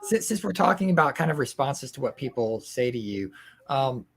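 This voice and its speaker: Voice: medium pitch, sing-song, moderate pace, vocal fry, fairly bright tone, slightly rough. A co-moderator posing questions to panelists in a friendly way over a video call.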